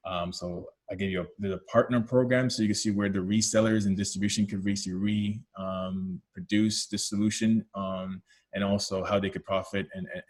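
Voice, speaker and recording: A man with a Canadian accent presenting a product webinar as a technical lead, explaining step by step with frequent fillers and self-corrections. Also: low voice.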